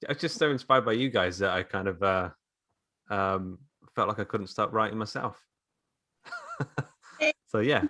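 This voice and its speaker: Guy writing tutor on a podcast, lowish but bright sharp voice, just chatting. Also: wildly swooping pitch, a little slow, long pauses. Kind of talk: casual conversation.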